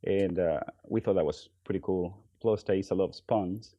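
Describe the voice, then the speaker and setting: A man from Venezuela, a podcast guest, talking in a relaxed, hesitant way with many pauses and fillers. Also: low voice, dry recording, highs cut off. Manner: hesitant, many ums and uhs, soft trailing phrases. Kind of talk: relaxed conversational interview.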